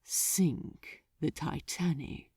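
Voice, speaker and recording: A woman voicing a smug, seductive male archdemon in an audiobook, silky and softly menacing in a theatrical way. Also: low-pitched, very slow, breathy edge, no room sound, studio quality, voice pushed down, heavily acted. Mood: savoring menace. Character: seductive, sinister male archdemon, smugly patronizing. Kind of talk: dramatized fan fiction audiobook reading.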